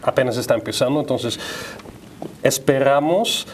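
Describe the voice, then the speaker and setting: Guy guest on a panel, middle pitch, measured but halting, bit of background noise. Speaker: guest, press freedom advocate. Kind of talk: spoken panel commentary. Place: TV studio.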